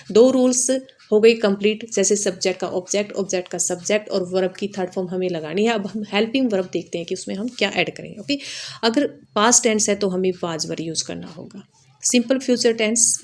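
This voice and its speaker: A woman's voice: medium pitch, a little mellow. A woman with an Indian accent teaching a grammar lesson, explaining step by step in an instructional, repetitive way and checking that listeners follow. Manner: instructional, repetitive, checking understanding with short tags.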